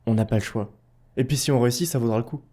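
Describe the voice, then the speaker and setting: A man's voice: medium pitch, very fast, dark tone, close to an everyday voice. A man playing a practical, determined adventurer in a fantasy audio drama, matter-of-fact in delivery. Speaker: voice actor in an amateur audio fiction.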